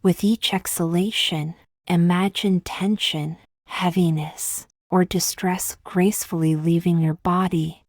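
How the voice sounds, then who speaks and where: A woman with a slightly low voice leads a guided meditation. Her phrasing is gentle, slow and measured, with long pauses between instructions. The sound is dry and close to the mic. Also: fairly even intonation, dry recording.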